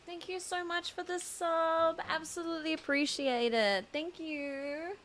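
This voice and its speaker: A woman's voice: extremely high pitch, steady tone, a little slow, fairly bright tone, slight background noise. A woman game streamer chatting casually to her viewers as she reacts live to a story.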